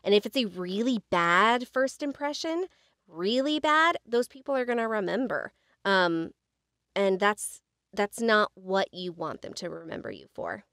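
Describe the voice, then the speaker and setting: A woman's voice: medium pitch, big pitch swings, unhurried, a bit bright, no room sound. Earnest American woman, dry sound. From United States. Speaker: podcast co-host, voice actor. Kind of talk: casual chat.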